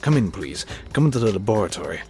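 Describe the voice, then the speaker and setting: A man's voice: lowish voice, sing-song, fast talker, mellow, faint music behind. A man playing an obsessed, arrogant scientist in a grandiose, theatrical amateur audio drama. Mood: grandiose, exultant. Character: obsessed, arrogant scientist who creates a monster.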